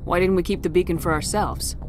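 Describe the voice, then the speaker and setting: An actress playing a direct, no-nonsense starship commander in a video game, with a crisp, businesslike, questioning manner. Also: female voice, lowish voice, fairly even intonation, a little fast, music in the background, lightly acted. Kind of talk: video game cutscene acting.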